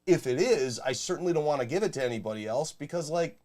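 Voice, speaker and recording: A man's voice: fairly high pitch, expressive, quick pace, dark tone. A man with a nasal voice and a Rochester, New York accent, co-hosting in blunt, profane casual chat. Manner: swears freely, blunt and joking. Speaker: podcast co-host.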